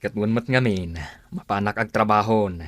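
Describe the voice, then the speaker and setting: A man's voice: on the low side, normal speed, fairly bright tone, no echo, studio quality, natural voice. A man with an Ilocano accent playing a good-natured, modest bar cook and older brother in a radio drama. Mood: polite, agreeable.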